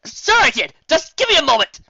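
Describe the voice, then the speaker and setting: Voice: sits high, big pitch swings, fairly quick, gravelly, bright, forceful tone, no room sound, muffled recording, voice pushed up, exaggerated voice. A reader voicing a cocky, sardonic adventurer mare in a casual way for an audio story. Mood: brash. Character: cool cocky adventurer mare, bored and smirking.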